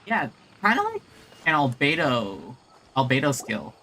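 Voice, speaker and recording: A woman's voice: rumbling low, very animated, measured pace, pushed and bright, a little hiss or hum. A woman giving casual, rambling and chatty commentary while playing a video game as a streamer.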